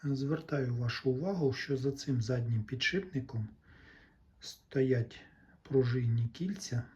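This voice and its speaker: A man gives an unscripted hands-on repair tutorial, explaining patiently step by step with short pauses. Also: medium pitch, warm tone, highs cut off. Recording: roomy echo, faint background noise.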